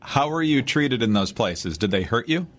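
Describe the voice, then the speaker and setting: A man hosting a radio interview, asking direct, measured questions. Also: medium pitch, expressive, a bit bright. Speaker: radio host.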